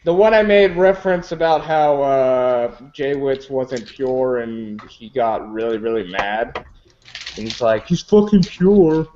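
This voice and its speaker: A man's voice: mid-range voice, animated, a little slow, darkish tone, dull audio, slight background noise. Rambling young man hosting a hangout. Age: 20s. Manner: rambling, teasing, reads out questions. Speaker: hangout host. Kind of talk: casual group video chat.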